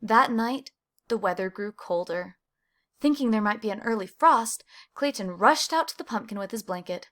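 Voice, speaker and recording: A woman reading a children's picture book aloud, with animated emphasis on key words. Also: mid-range voice, normal speed. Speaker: storybook reader.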